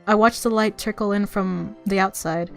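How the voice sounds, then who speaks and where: A woman reading a visual novel aloud as expressive story narration. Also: medium pitch, normal speed, vocal fry, faint music behind.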